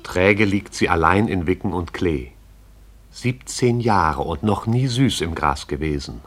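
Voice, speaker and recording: A man narrating a radio play in a measured, poetic storytelling manner. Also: sits low, expressive, a bit bright.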